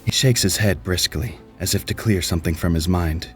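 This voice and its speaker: A man's voice: deep voice, a little fast, faint music behind. A man reading scripted, descriptive narration for a sci-fi radio play.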